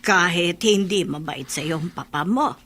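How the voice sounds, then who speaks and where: A woman playing a kindly, sympathetic older household helper in a Filipino radio drama, warm and folksy. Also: on the low side, measured pace. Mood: kindly, indulgent. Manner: warm, folksy, musing aloud.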